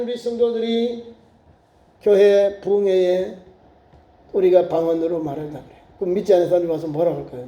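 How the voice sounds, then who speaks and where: A man preaching a sermon as pastor, acting out crowd voices in lively storytelling. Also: high-pitched, dull audio. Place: church sanctuary. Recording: echoey church room.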